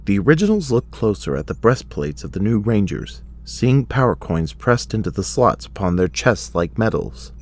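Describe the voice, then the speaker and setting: A man's voice: on the low side, sing-song, moderate pace, hardly any pauses, darkish tone. A man reading scripted dramatic narration as the narrator of an audio drama.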